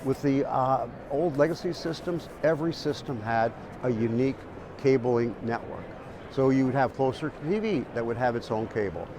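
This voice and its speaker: A man speaks at a middle pitch, explaining things like an expert in an interview. He is halting and repeats words as he works through each point. Clear background noise runs behind him. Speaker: industry expert being interviewed.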